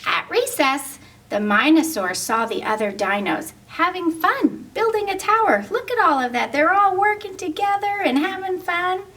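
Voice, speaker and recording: A woman reads a children's picture book aloud in a high, swooping voice. She takes it slowly and turns to her young listeners with questions. She sounds distant from the microphone. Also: expressive, fairly bright tone. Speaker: story reader.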